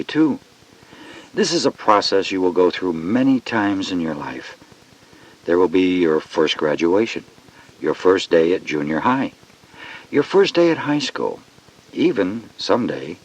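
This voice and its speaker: A man narrates a children's educational cassette in a reassuring, instructive way. The voice is fairly low with very animated intonation, sounding slightly away from the mic. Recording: distant-sounding vintage cassette.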